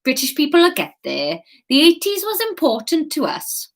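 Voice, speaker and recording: A woman voicing a confident female head chef with a put-on Swedish accent, brisk and matter-of-fact. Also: high-pitched, wildly swooping pitch, pitched above the performer's own voice, full character voice. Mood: reassuring.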